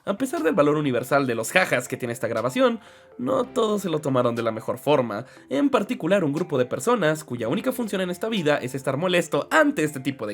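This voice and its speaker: A man reads humorous scripted video narration in a conversational, mock-serious way with sarcastic asides. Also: fairly high pitch. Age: 20s. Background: background music.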